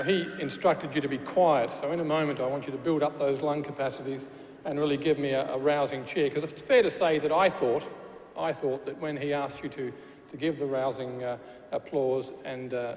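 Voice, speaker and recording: A man's voice: medium pitch, steady tone, moderate pace, a little mellow. A man with a Glasgow Scottish accent giving a chatty speech at a graduation ceremony, joking with the crowd and often restarting his sentences. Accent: Glaswegian Scottish. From Scotland. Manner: chatty with frequent restarts and fillers, jokey asides. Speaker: university official addressing graduates. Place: large church hall. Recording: echoey hall with faint noise.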